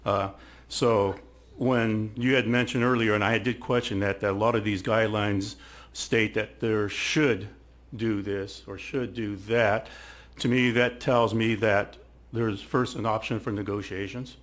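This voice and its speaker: A man speaking as a council member at a public meeting, halting and repeating himself as he searches for words. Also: lowish voice, fairly even intonation, a bit bright. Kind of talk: deliberative public meeting remarks.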